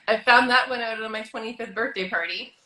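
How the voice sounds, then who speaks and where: Bright giggly woman in an echoey room. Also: fairly high pitch, slight background noise. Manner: chatty, giggly, asks quick-fire questions. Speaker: host. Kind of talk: casual video chat interview.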